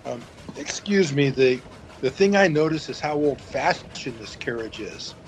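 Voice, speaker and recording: A man's voice: medium pitch, animated, unhurried, a little hiss or hum, natural voice. A man playing an earnest, puzzled boyfriend in an audio fantasy drama.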